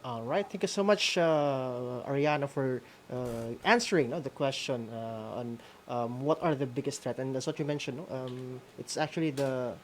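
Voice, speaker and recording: Filipino man hosting over background noise. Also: mid-range voice. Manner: halting, with frequent "no?" tags. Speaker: host. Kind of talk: hosting a live school-on-the-air session. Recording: noisy background with ambient sound.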